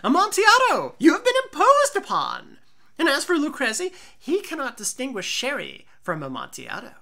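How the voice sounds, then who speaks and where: A woman voicing a drunken, boastful Italian nobleman in a storybook reading, booming and insistent. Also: wildly swooping pitch, normal speed, sharp tone, exaggerated voice. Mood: insistent, scornful. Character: loud, drunken, boastful Italian nobleman, eager wine snob.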